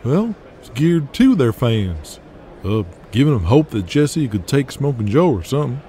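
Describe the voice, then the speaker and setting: A man's voice: medium pitch, very animated, moderate pace, mellow, a little hiss or hum, lightly acted. A man playing a folksy, shrewd wrestling adviser. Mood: deferential. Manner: folksy, persuasive, hesitant with fillers. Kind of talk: audio drama acting.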